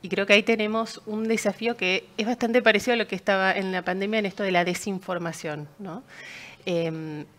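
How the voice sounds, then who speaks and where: A woman's voice: mid-range voice, steady tone, a little fast, a bit bright. A woman explaining her points on a discussion panel, repeating words as she goes.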